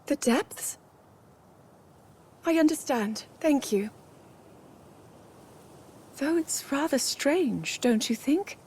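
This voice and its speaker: An actress playing a modest young princess in a video game, speaking in an earnest, polite, hesitant way. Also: female voice, high-pitched, lively intonation, very slow, pauses often, a little mellow, a little hiss or hum, full character voice. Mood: puzzled. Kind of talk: video game cutscene acting.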